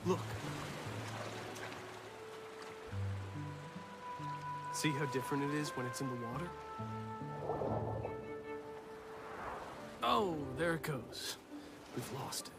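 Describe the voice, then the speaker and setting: A man playing a friendly, knowledgeable young man in an animated film, explaining things in an easygoing way over music. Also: on the high side, a little fast, close to an everyday voice. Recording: loud music under the dialogue. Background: loud music.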